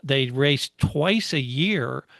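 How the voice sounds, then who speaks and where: A man co-hosting a podcast, chatting casually about motor racing, explaining history at a measured pace with many fillers. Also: mid-range voice, lively intonation, gravelly, fairly bright tone, no room sound, clean studio sound. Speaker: podcast co-host.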